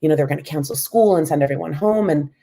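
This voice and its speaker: American woman guest, low warm voice, reflective, conversational. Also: racing, vocal fry. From United States. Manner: thoughtful, frequent "you know". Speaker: guest academic. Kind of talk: interview answers.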